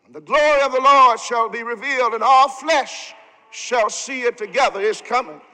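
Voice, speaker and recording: A man's voice: very high-pitched, moderate pace, sharp tone. A man preaching a sermon in rhythmic, emphatic oratory, building with repeated phrases. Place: large synagogue sanctuary. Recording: long hall reverb from a sanctuary.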